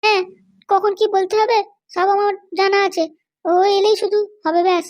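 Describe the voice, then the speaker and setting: A man voicing a bossy, short-tempered middle-aged cartoon wife with a West Bengal accent and a pitched-up voice. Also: steady tone, moderate pace, no room sound, studio quality. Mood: scolding. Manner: scolding, threatening. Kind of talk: comic cartoon dialogue.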